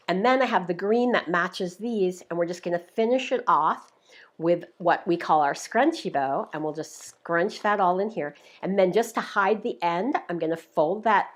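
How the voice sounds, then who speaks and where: A woman hosting a live craft tutorial, explaining each step in a chatty, upbeat way, her voice a little worn. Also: on the low side. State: slightly losing her voice. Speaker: craft demonstrator, show host.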